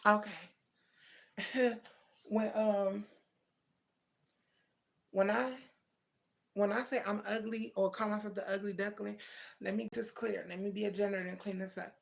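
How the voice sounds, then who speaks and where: A woman's voice: mid-range voice, little pitch movement, a little slow, vocal fry, pauses often. A woman in her thirties hosting a casual livestream, talking straight to her viewers and answering their comments, calling them baby and twin. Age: 30s. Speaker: livestream host. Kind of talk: casual live chat with viewers.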